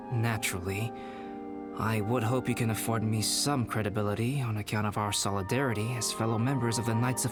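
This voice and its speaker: A man voicing a calm young male alchemist in a video game, speaking in a measured, formal and polite way over game music. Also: fairly low pitch, close to an everyday voice.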